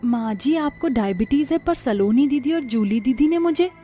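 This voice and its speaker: A meek young bride from an animated story, soft and pleading, as a woman voices her. Her voice sits high and light, with faint music playing behind. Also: normal speed, slightly rough. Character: meek, gentle young daughter-in-law.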